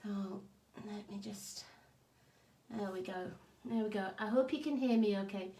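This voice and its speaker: Croaky-voiced chatty woman crafter. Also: medium pitch, unhurried, dark tone, a little hiss or hum. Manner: chatty, greets viewers by name. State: croaky, hoarse voice from a cough. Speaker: craft demonstrator hosting a live stream. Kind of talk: casual live-stream chat. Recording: echoey room.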